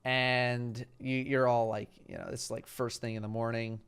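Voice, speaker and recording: A young man narrating as dungeon master, relaxed and hesitant, with many fillers. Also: mid-range voice, normal speed, smooth. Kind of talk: narrating a tabletop game.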